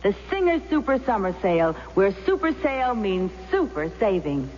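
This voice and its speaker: A woman announcing a scripted 1970s radio commercial as a sales pitch. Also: sing-song, normal speed, a little mellow. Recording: muffled echoing old radio, faint hum.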